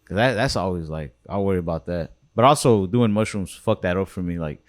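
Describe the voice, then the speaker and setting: A young man with a low voice hosts a podcast. His delivery is mellow and laid-back, peppered with "bro" and "like". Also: a little fast. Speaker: comedian, podcast host. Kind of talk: casual podcast chat.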